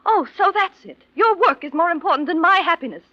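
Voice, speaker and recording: A woman's voice: very high voice, expressive, a little fast, pushed and bright, no echo, exaggerated voice. A woman playing a cutting, socially busy professor's wife in a 1940s radio drama, sugary and condescending. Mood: wounded, reproachful. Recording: muffled vintage 1940s radio recording.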